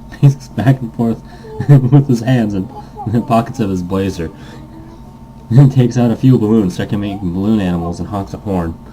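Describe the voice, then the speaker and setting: A man's voice: deep voice, warm tone, a little hiss or hum, low-quality recording. A man hosting a game playthrough, rambling and thinking aloud in casual commentary as he reads game text and reacts to it. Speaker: host of a visual novel playthrough series.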